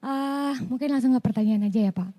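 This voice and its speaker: A young woman with a somewhat high but very dark, mellow voice that sounds hoarse. She chairs a youth organization and puts a polite, formal question to a candidate. Her greeting and her question are both carefully courteous. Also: a little fast.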